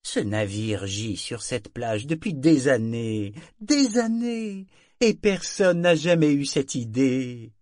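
A man's voice: medium pitch, lively intonation, takes it slowly, darkish tone, dry recording, clean studio sound, pitched above the performer's own voice. A man doing a smug, scheming trader. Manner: assured, persuasive.

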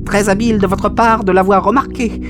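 A man's voice: sits high, steady tone, fairly quick, music in the background, pitched above the performer's own voice, lightly acted. A man voicing a cruel, gloating villain in an audiobook, with a mocking, mock-polite way of talking. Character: sneering, gloating villain, a cruel male visitor. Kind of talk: audiobook character acting.

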